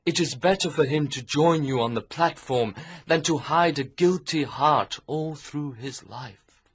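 A man's voice: fairly high pitch, a little slow, husky, voice pushed up. A man voicing an anguished young male minister in an audiobook, sweet, rich and broken in tone. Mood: pleading.

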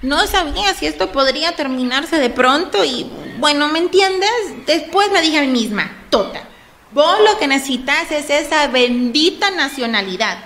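An actress playing an expressive, dramatic Honduran migrant wife in a radio soap opera. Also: female voice, high-pitched, slight background noise, exaggerated voice. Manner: expressive, exclamatory.

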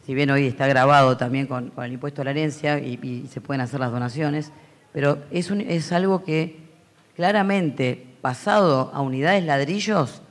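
Argentine male panelist, reflective and anecdotal, handheld mic on a conference stage. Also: medium pitch. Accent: Argentine Spanish. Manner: reflective, anecdotal, many fillers. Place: large conference hall stage.

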